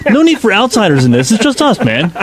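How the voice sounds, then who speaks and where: A man's voice: sits high, wildly swooping pitch, fast talker, background noise. A man casually recounting an incident in a group talk show discussion.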